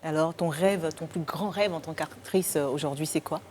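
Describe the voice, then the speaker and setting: A woman's voice: deep voice, normal speed, slight background noise. A woman hosting a talk show, guiding the conversation with chatty prompts. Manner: chatty, steering the talk, soft asides.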